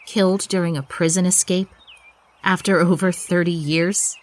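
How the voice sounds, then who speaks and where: An actress playing a middle-aged female spy agency chief in an audio drama, guarded and weary, speaking in a clipped, hushed manner. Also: on the low side, steady tone, moderate pace, close to an everyday voice. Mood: guarded, suspicious.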